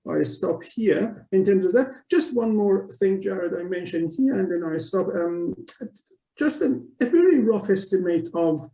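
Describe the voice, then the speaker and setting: Dark-voiced, creaky man presenting from afar. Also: high voice, animated. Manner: halting, hesitant delivery. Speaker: webinar presenter. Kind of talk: technical presentation. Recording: roomy echo, distant microphone.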